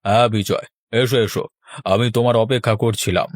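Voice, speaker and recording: A man acts out an aggrieved village man from a cartoon, demanding and full of complaint. His voice sits at a middling pitch in a dry, close recording. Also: steady tone, a little fast, no room sound, close to an everyday voice. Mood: placating. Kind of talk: Bengali cartoon voice acting.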